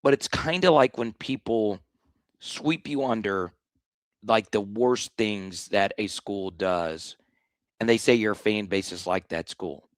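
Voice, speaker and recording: A man with a slightly hoarse voice hosting a live sports talk stream, blunt and animated, often restarting his sentences. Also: lowish voice, takes it slowly, no echo. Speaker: sports show host.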